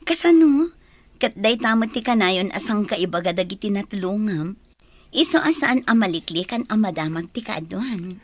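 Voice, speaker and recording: A woman's voice: medium pitch, lively intonation, moderate pace, dry recording, close to an everyday voice. A woman playing a kind, charitable rich wife. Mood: affectionate. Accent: Ilocano.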